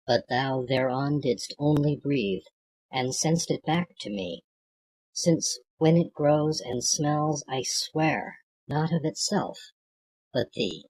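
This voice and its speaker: An audiobook reader reciting poetry from a script in a measured, declamatory way, with a husky, gravelly voice. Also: mid-range voice, darkish tone, poor audio.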